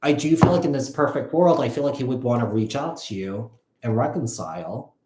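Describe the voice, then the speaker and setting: A man giving a casual live tarot reading, thinking aloud in a halting, repetitive way. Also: medium pitch, animated, darkish tone. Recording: echoey, roomy sound.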